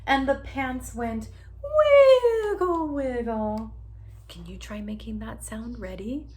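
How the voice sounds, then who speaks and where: Animated woman reading to children. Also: fairly high pitch. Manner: animated, drawn-out sound words, asks listeners to join in. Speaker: teacher reading aloud to children. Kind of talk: interactive children's picture-book read-aloud.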